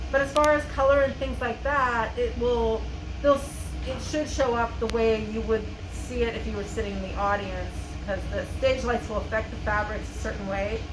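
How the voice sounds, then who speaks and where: A woman with a slightly high voice talks as an interviewed costume designer. Her delivery is casual and explanatory. The recording is echoey, with clear background noise. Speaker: costume designer and theater professor being interviewed. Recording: echoey, noisy room recording.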